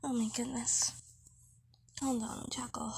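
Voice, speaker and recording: A woman playing a nervous, bubbly young woman in an intimate roleplay audio, with flustered, halting, breathy speech. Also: fairly high pitch, level tone, full character voice. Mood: anxious, flustered. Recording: loud cooking noise behind the voice. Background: kitchen cooking sounds.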